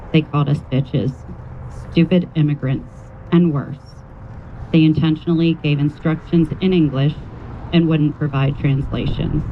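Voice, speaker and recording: Even-toned man reading a testimony aloud. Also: a little high. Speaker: rally speaker reading a testimony. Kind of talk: reading a first-person account aloud. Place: outdoor rally near a road. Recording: dull lo-fi audio, faint vehicle noise.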